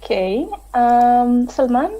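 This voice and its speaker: A woman's voice: fairly high pitch, slow, muffled recording. A woman hosting an online panel discussion over a video call, hesitant, with many fillers. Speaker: session host.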